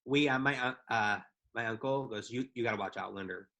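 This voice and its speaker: Easygoing man, halting, full of ums. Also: medium pitch, pushed and bright. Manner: easygoing, hesitant with frequent ums. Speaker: podcast co-host. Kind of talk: casual live podcast chat.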